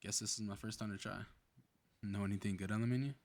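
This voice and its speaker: A man's voice: lowish voice, steady tone, quick pace, a little mellow, lightly acted. A man playing a cocky, playful superhero in a flirty ASMR roleplay audio drama, with casual teasing banter.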